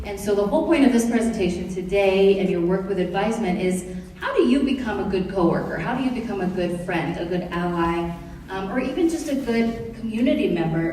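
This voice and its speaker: A middle-aged woman from Kenya giving a lively classroom presentation to high school students, joking and asking the audience questions. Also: a little hiss or hum. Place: large room or hall. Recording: echoey hall, voice from far off.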